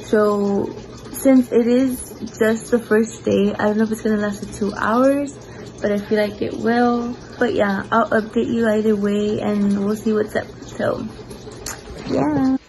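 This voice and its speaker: A woman's voice: mid-range voice, unhurried, slight background noise, poor audio. A young woman vlogging her day from a small bedroom at home, talking casually into a phone held at arm's length. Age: young adult. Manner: chatty, relaxed, lots of likes and ums. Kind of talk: casual day-in-my-life vlog. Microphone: phone camera at arm's length.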